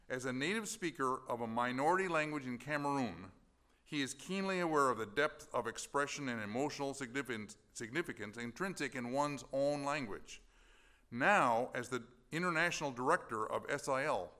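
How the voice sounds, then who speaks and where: Man presenter, bright and forceful, chatty, asks the room questions. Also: mid-range voice. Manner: conversational, asks the audience questions. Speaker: mission presenter. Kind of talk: church presentation.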